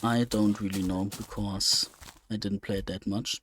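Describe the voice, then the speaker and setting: A man's voice: lowish voice, flat delivery, measured pace, a bit bright, slight background noise. A man giving casual, unscripted gameplay commentary, hesitant and rambling as he thinks aloud. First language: German. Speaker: let's play host.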